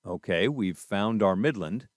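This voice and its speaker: Low-voiced man presenting a tutorial. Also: expressive, measured pace, no echo, studio quality. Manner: methodical, instructional. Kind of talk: scripted step-by-step tutorial narration.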